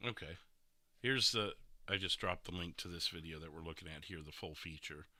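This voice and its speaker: A man's voice: deep voice, brisk, sharp tone. A man chatting casually as a podcast co-host, in a conversational style. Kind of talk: casual chat.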